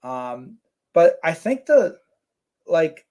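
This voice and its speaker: A man's voice: fairly high pitch, extremely slow. A man co-hosting a casual live stream, reading out chat comments and rambling with lots of fillers. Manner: relaxed, rambling, many fillers.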